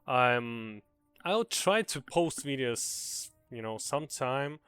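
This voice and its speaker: A man's voice: mid-range voice, lively intonation, slow pace, sharp tone, smooth, faint music behind. A young man with a Ukrainian accent giving a casual, earnest gaming commentary, hesitant with many fillers and restarts. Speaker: gaming video creator.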